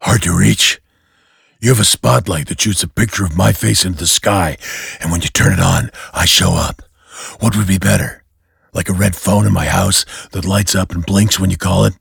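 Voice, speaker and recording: A man voicing a vain, touchy male superhero in a gravelly, husky voice, as part of a comic audiobook reading. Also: sits low, steady tone, normal speed, a bit bright, dry recording, studio quality, exaggerated voice. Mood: indignant. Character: male superhero, gruff, vain, touchy about his gadgets.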